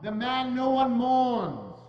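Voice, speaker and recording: An old Victorian miser played by a man, full of fear and remorse, pleads in a high, swooping voice with halting theatrical phrases. It is a staged reading in a reverberant hall with a dull, humming sound. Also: sing-song, full character voice. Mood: grieving, anxious. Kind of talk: dramatic reading of a Victorian classic. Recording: echoey church hall, dull, humming noise.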